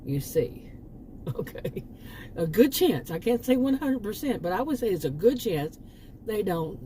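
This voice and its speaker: A woman's voice: mid-range voice, big pitch swings, unhurried, dark tone, a little hiss or hum, low-quality recording. A woman from Texas with a Texan accent, talking casually to her channel and rambling through restarts and asides. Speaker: channel host. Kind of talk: casual monologue.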